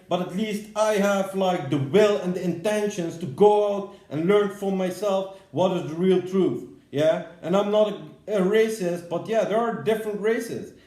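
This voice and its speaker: A man's voice: high voice, reverberant. A man from the Netherlands, ranting angrily as a commentator and swearing a lot. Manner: heated, swearing, shouting bursts. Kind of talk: angry profane rant.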